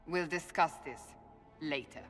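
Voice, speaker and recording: A woman's voice: low voice, a little slow, a bit bright. A woman playing a stern, pragmatic warrior in a video game, speaking in a curt, firm, formal way. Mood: stern, disapproving. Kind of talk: video game cutscene acting. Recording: hall reverb with music behind.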